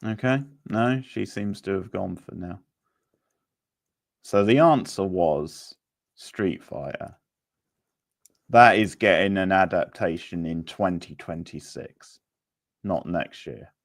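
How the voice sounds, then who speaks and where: Male quiz host, casual and unhurried, deep creaky voice with a halting delivery. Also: dry recording, studio quality. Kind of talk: casual live-stream quiz hosting.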